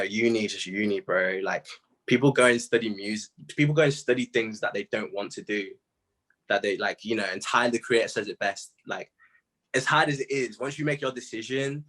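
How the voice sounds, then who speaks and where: A man's voice: fairly low pitch, fairly bright tone. A young man chatting casually in an interview, using informal, slangy speech as a music artist. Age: young adult. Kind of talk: casual chat.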